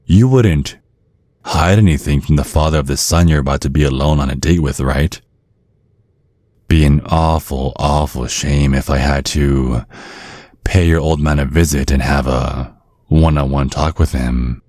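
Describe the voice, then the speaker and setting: A man acting a menacing, courteous old vampire father in an ASMR roleplay, speaking in measured, slyly threatening tones. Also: a bass voice, a little mellow, no room sound, studio quality. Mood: veiled menace. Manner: measured, veiled threats under courteous small talk. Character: menacing ancient vampire father, polite, sly and controlling.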